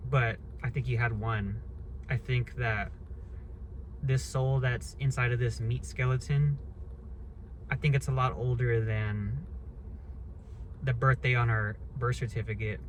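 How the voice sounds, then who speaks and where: A man in his early thirties holds forth in a loose, unscripted monologue, blunt and quick to swear as his thoughts wander and restart. His voice sits in an ordinary middle pitch, and a faint hiss runs under the recording. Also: steady tone, poor audio. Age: 30s. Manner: blunt, rambling, swears freely. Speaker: vlogger. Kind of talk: casual monologue rant.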